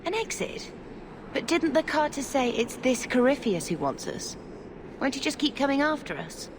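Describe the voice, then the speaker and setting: An actress playing a curious, chatty young mage companion in a video game. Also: female voice, high-pitched, very animated, normal speed, background noise. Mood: worried. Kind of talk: dramatic video game acting.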